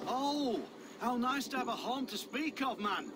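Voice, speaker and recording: A bitter villager with a high voice, sarcastic and accusing. It is a game drama heckler's delivery, with clear noise around him. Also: male voice, animated, unhurried. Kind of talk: video game cutscene acting.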